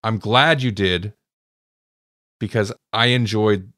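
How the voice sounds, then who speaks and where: A man with a fairly low voice, recorded close and dry. He speaks as a podcast co-host in casual discussion, thinking aloud as he recaps and reviews stories. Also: slow pace, sharp tone, no room sound. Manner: informal, conversational, thinking aloud.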